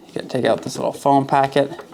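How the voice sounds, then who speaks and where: Male presenter from Canada, mid-pitched, casual and conversational, stressing points by repetition in a hands-on gear review. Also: flat delivery, fairly quick, creaky, warm tone, a little hiss or hum. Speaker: arborist crew boss and video presenter. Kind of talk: casual product review talk to camera.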